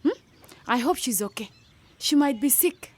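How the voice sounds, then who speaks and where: High-voiced household gossip. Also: female voice, expressive, normal speed, breathy edge. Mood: gossipy, insinuating. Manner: insinuating, gossipy. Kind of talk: radio drama acting.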